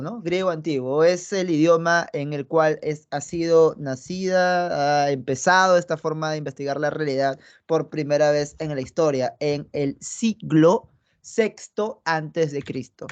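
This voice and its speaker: A young man with a high voice lectures on philosophy over a video call. He talks chattily and keeps checking in with his students. The computer-mic audio is dull and muffled. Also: measured pace, clean tone. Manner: chatty, tags phrases with "¿no?" and "¿ya?"; talks directly to students, affectionate asides. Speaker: philosophy teacher. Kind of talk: online pre-university lecture.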